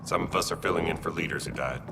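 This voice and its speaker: An actor playing a steady, gravel-voiced alien militia leader in a video game. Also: male voice, fast. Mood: grave, businesslike. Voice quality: deep, gravelly. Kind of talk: video game cutscene acting. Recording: distant, noisy ambience.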